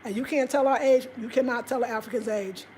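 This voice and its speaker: An American woman in her fifties chatting casually and expressively to viewers, telling stories in an animated, conversational way. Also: a little high, fast, slight background noise. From United States. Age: 50s. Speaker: vlogger. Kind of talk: casual livestream chat, storytelling to viewers.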